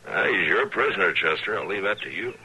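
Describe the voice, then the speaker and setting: An American actor playing a steady, authoritative frontier marshal in an old-time radio western, terse and dryly good-humored. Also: male voice, low-pitched, fast talker, breathy edge, heavily acted. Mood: calm, dry. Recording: slightly noisy vintage radio recording.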